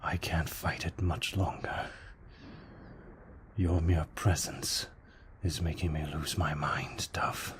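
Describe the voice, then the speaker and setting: Man playing a very deep, brooding vampire. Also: steady tone. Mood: tempted, yearning. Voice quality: hushed, breathy, often slipping into whisper. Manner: formal, deliberate, brooding delivery. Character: tormented, courteous male vampire fighting his bloodlust. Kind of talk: ASMR roleplay, slow intimate monologue.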